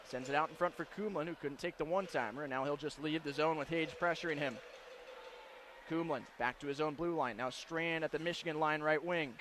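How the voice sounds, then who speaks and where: High-pitched man calling live hockey. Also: sharp tone. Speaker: radio play-by-play announcer. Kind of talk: live ice hockey commentary. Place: broadcast position in an indoor hockey arena. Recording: live broadcast with clear arena noise.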